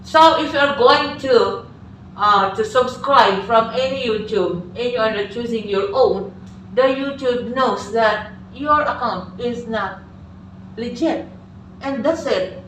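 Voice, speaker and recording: An older Filipino woman teaches a class in a slow, steady lecture, her voice sitting a little high for a woman. She gives advice earnestly and acts out little dialogues in her Filipino accent. The mic is some way off in a very echoey classroom, with a faint hiss underneath. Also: recorded from a distance. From Philippines. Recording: echoey room recorded from afar.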